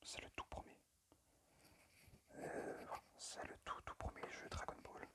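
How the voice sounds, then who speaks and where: A man speaking in a breathy, unvoiced whisper into a phone microphone, presenting informally in ASMR style with a hesitant, unpractised manner. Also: measured pace. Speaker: amateur ASMR creator and game collector. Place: at a desk indoors.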